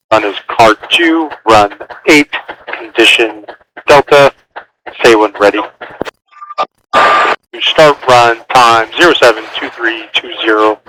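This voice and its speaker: A man speaks as a military mission controller over air-band radio. His phrasing is clipped and procedural, delivered in short instructions at an average pitch. The transmission is clearly noisy, with static and clicks.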